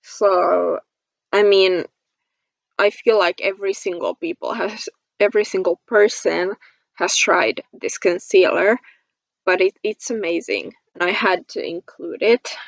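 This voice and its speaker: A woman's voice: on the low side, a little slow, gravelly, no echo, muffled recording. A woman from Finland chatting casually about products as a beauty vlogger, in a gushing, giggly manner. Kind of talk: casual product review chat to camera.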